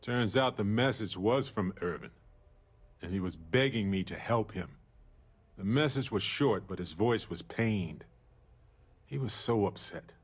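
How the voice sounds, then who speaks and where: Male space explorer, low pitch, raspy, warm, wistful, earnest, reflective, video game dialogue. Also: lively intonation, a little slow. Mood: pained, concerned.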